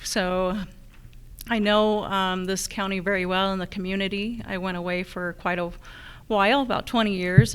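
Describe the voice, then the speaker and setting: A woman's voice: mid-range voice, smooth. A middle-aged American woman giving a candidate's introduction speech at a public forum. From rural Utah, United States. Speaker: political candidate.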